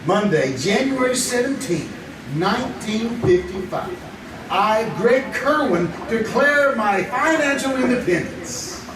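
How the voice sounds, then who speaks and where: An actor playing a small-town would-be bank robber who mutters and gloats to himself in a live radio play. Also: male voice, very high voice, lively intonation, slow pace, exaggerated voice. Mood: gleeful. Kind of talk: live old-time radio drama acting. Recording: roomy live stage, distant mic, noisy.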